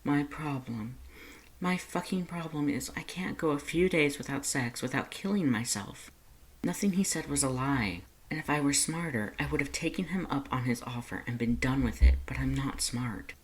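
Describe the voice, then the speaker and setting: Male skeleton monster, higher voice, anguished, weary, gentle, halting delivery, fanfic character, acted by a reader. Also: natural voice, poor audio. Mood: bitter self-hatred. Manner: strained, halting, pleading. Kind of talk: fan fiction read-aloud character voice.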